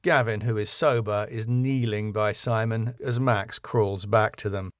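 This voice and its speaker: A man's voice: lowish voice, steady tone, measured pace, dry recording, studio quality. A man reading stage directions from a sitcom script as scripted narration.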